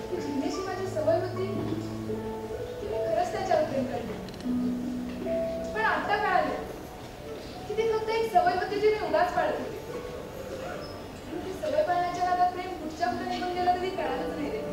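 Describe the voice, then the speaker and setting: A woman's voice: very high-pitched, normal speed, pauses often, loud music behind the voice. An actress playing a lovestruck, hurt young woman in a stage play, earnest and emotional. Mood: earnest confessing. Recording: echoey stage recording from far off.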